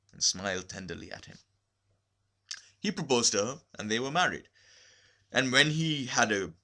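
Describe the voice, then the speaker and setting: A man narrating a literary short story expressively, with measured, deliberate phrasing. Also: fairly low pitch, pushed and bright. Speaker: story reader.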